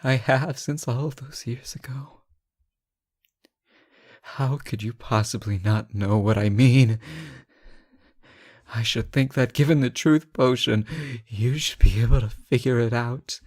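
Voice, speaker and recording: A man playing a lovesick, anguished captive ruler in a fantasy romance audio drama, halting and often dropping toward a whisper. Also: mid-range voice, darkish tone. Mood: confessing, resigned. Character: captive reckless but benevolent male ruler, lovesick and anguished. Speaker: audio roleplay performer.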